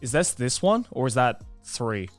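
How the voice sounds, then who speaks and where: A man with a medium-pitched voice speaks as a podcast co-host. He chats casually, dropping short reactions and questions, with music quietly underneath. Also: big pitch swings, normal speed.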